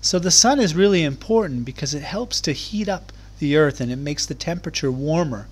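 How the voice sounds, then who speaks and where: A man reading aloud to young children as a teacher, explaining things in clear, simple terms. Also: fairly high pitch, animated, fairly quick. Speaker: primary school teacher. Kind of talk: children's read-aloud lesson.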